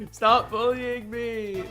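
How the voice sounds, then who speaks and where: A man's voice: very high voice, little pitch movement, extremely slow, bright, forceful tone. A man livestreaming a video game with casual, rambling, easily flustered chat. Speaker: game streamer. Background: game music.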